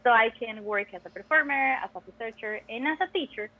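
A woman's voice: fairly high pitch, quick pace, lo-fi sound. A chatty, emphatic young woman with a Costa Rican Spanish accent, a native Spanish speaker, chatting as an interview guest. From Costa Rica. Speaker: podcast guest, music education PhD student. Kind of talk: casual interview chat.

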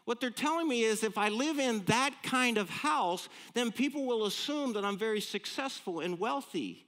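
A man's voice: very high voice, moderate pace. A man of fifty-nine with an American accent, preaching in a conversational, folksy, humorous storytelling way with rhetorical questions. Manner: animated, folksy, self-deprecating, punctuated with rhetorical questions.

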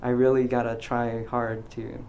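A young man in his twenties speaks in a relaxed interview, soft-spoken and halting, restarting his sentences and filling gaps with ums. His pitch sits in an ordinary middle range. A faint hiss runs under the recording. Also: vocal fry. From United States. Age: 20s. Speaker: graduating physics student. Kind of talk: casual interview.

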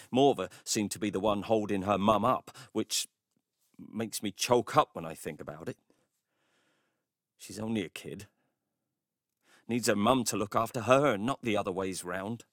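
Low-voiced, animated neighbour man. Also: dry recording, clean studio sound. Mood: tender. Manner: colloquial, emotional, wry. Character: warm-hearted, indignant Cornish neighbour, a doting husband. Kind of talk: audio drama monologue, confiding storytelling.

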